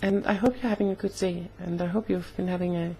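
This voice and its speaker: A young woman talking casually in a personal vlog, slightly hoarse, with an earnest, halting way of speaking. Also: on the low side, fairly even intonation, dark tone, a little hiss or hum, low-quality recording. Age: young adult. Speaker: vlogger.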